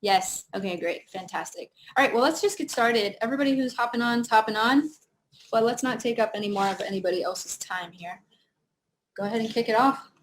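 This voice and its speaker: A woman's voice: fairly high pitch, brisk, a little hiss or hum. A woman co-hosting a company call in a cheerful, casual way, a little far from the mic. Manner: cheerful, talks over a weak mic by raising her voice. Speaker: co-host of a company team call.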